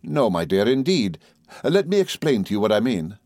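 A man voicing an earnest young husband in a classic novel reading, measured and formal, patient yet exasperated as he entreats. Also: on the low side, sing-song, a little mellow, no echo, clean studio sound.

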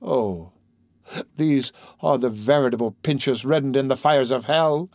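A man's voice: medium pitch, unhurried, darkish tone, no echo, studio quality, voice pushed up, natural voice. A man reading the part of an anguished priest desperately pleading his obsessive love, in impassioned, theatrical declamation. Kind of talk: audiobook character reading.

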